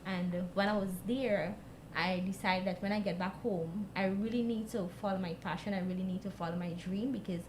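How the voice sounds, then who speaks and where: A woman's voice: mid-range voice, steady tone, brisk, hardly any pauses. A woman from Guyana with a Guyanese accent, speaking as an interview guest and telling a personal story. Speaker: guest, youth mental health advocate. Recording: clearly noisy background.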